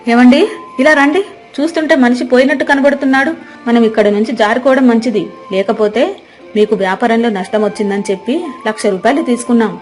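A woman's voice: fairly high pitch, fairly quick, a little mellow, soft background music, close to an everyday voice. A woman voicing a greedy, scheming female relative in a moral story, urgent and conspiratorial. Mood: urgent, sly.

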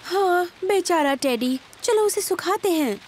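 A woman voicing a caring, cheerful and gently practical mother pig in an expressive children's cartoon dub. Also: extremely high pitch, a little slow, a little hiss or hum. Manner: nurturing, expressive. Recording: music behind the voice.

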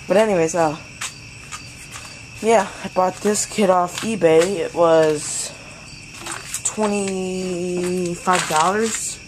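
A young male hobbyist giving a casual, rambling, slangy product review outdoors at night. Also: high voice. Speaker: hobbyist vlogger. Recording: noisy outdoor recording with insects.

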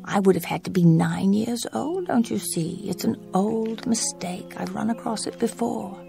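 An actress in a radio drama playing an ageless, flirtatious movie star with a breathy, often whispered voice. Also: female voice, mid-range voice, moderate pace, mellow, soft background music. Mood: coy. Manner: flirtatious, coy. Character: ageless, seductive female film star.

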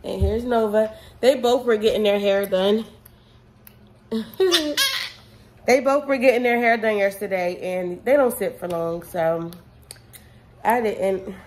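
Chatty young mom vlogging at home. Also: female voice, mid-range voice, takes it slowly, long pauses, a little mellow, slight background noise. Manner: rambling, chatty, many fillers like "um" and "like". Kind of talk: casual clean-with-me vlog. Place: apartment living room, indoors. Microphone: phone camera at arm's length.

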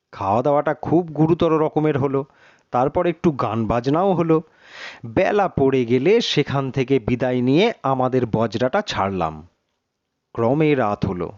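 A man reading a suspense story in a measured, literary, dramatic narration. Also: medium pitch, a little mellow, no echo, dull audio.

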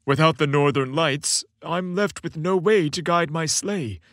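A man voicing an old Santa Claus in a story, booming and emphatic, with a fatherly weight. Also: a little slow, fairly bright tone, no echo, clean studio sound. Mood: grave, worried. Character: jovial booming old Santa Claus, grave when worried.

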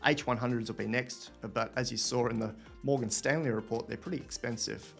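A man's voice: medium pitch, vocal fry, fairly bright tone. A man in his thirties hosting a livestream Q&A from a room at home, talking casually into a webcam, thinking aloud and reading out viewer questions. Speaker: host answering viewer questions.

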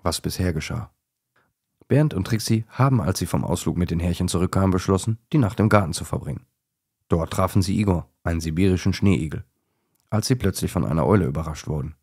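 Deep, gravelly male storyteller. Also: fairly quick, a little mellow, no room sound, studio quality. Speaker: narrator of a children's audio story. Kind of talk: scripted bedtime story narration.

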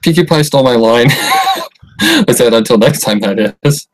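A man's voice: mid-range voice, lively intonation, normal speed. A man hosting a livestream and chatting casually while playing a game, giggling and reacting to the dialogue. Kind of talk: casual gameplay commentary.

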